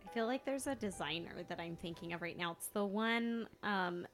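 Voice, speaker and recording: A woman's voice: medium pitch, normal speed, soft background music. A woman chatting casually as a player in a tabletop game, talkative and giggly. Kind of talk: casual chat.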